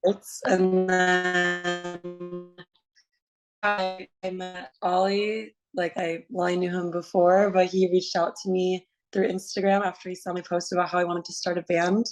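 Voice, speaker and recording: A woman in her twenties with a low, raspy voice, chatting eagerly as a musician guest on a video call, full of likes and restarts. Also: flat delivery, a little slow, faint music behind, rough recording. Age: 20s. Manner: enthusiastic, many likes and restarts. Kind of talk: casual interview chat.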